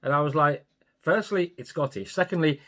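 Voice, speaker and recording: A man's voice: on the high side, moderate pace, sharp tone. A man co-hosting a casual film review podcast, giving blunt opinions and swearing freely. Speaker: podcast co-host.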